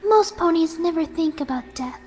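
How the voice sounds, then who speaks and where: A woman voicing a gentle, soft-spoken young female pegasus pony who is serenely deranged, in a horror fan fiction reading. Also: extremely high pitch, animated, pitched above the performer's own voice. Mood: serene rapture. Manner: gentle, sweet, unhurried while menacing. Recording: music behind the voice.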